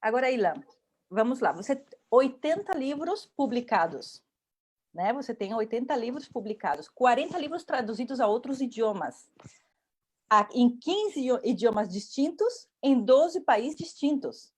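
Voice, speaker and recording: A woman's voice: on the high side, sing-song, a little slow, a little mellow, breathy edge. A woman from Brazil who lives abroad, interviewing an author on a video call with enthusiastic, effusive praise. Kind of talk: casual interview.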